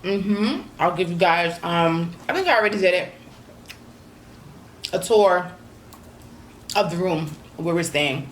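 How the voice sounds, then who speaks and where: A woman catches her viewers up on her trip in a loose, rambling vlog style, filling gaps with ums and you-knows. Her voice sits on the lower side and sounds a little hoarse. A faint hum runs underneath. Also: slow pace, long pauses. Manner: relaxed, chatty, many ums and you-knows. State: slightly hoarse. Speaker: vlogger. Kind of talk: casual vlog recap.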